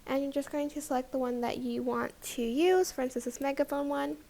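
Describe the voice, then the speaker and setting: A young woman narrates a software tutorial in a casual register. Her voice sits high and breaks into noticeable creak. Her phrasing is a little halting as she explains each step. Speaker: tutorial host.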